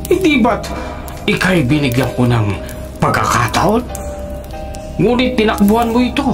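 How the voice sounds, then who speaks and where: A man's voice: high voice, full character voice. An actor playing a menacing old male spirit in a radio drama, with ominous, theatrical and formally old-fashioned phrasing. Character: menacing old male wandering spirit seeking release from curse.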